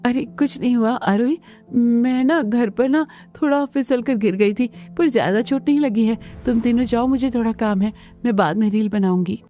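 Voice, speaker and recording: A woman's voice: on the high side, normal speed, mellow, soft background music, lightly acted. A woman voicing a timid, long-suffering young daughter-in-law who turns defiant, with emphatic delivery in a cartoon family melodrama. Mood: evasive, reassuring.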